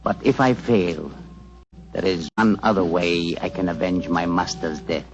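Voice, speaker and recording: An actor playing a grave, loyal Indian royal physician with a solemn, formal, deliberate manner, in 1940s radio drama style. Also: male voice, low voice. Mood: coldly vengeful. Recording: dull 1940s radio broadcast, music behind.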